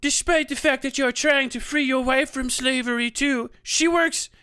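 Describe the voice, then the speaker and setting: A man's voice: very high voice, normal speed, bright, forceful tone, clear, resonant tone, dry recording, studio quality, pitched above the performer's own voice. A man voicing a haughty, imperious Roman noblewoman commanding a gladiator in a melodramatic comic skit. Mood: imperious, seductive.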